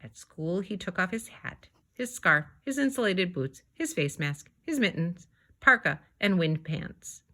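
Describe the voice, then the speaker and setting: A woman reading a children's story aloud, narrating expressively in deliberate, measured phrases. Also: fairly low pitch, sing-song, sharp tone. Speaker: storybook reader.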